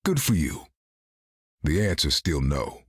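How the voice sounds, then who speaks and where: An actor playing a gruff, wry half-vampire swordsman in a video game, with a gravelly, terse delivery. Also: very animated, slow, no echo, clean studio sound, full character voice. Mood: dry, tough. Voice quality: gravelly, very deep.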